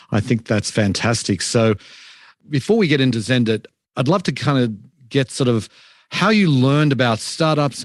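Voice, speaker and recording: A man's voice: mid-range voice, dry recording, clean studio sound. A man hosting a podcast, reading an upbeat introduction and then asking interview questions. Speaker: podcast host.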